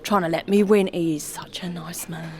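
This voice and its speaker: A woman's voice: on the low side, normal speed, faint music behind, lightly acted. A woman playing a sweet, trusting older pub regular in a comedy radio play. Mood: fond, chatty. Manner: chatty, expressive.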